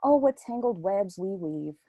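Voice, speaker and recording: A woman acts out a scheming young woman in a stage play reading. She is sassy and mock-sweet, with a husky edge and very animated pitch in a close, dry sound. She taunts as if she holds all the cards. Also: measured pace, no echo. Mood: taunting, smug. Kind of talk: readers theatre play reading.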